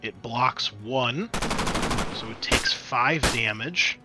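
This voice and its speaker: A man's voice: mid-range voice, expressive, takes it slowly, over loud music. A man acting as game master, casually explaining rules and narrating a tabletop game.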